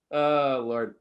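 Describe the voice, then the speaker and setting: A man's voice: fairly high pitch, dull audio. A man co-hosting a casual, unscripted review chat, talking in a wry and rambling way. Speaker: movie reviewer, co-host.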